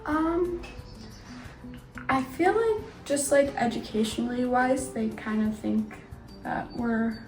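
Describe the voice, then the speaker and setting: Brief: young American woman, higher voice, tentative, distant in an echoey room. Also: slow, pauses often, a little mellow. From Pennsylvania. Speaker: exchange student. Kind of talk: casual chat. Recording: echoey room, distant mic, background music.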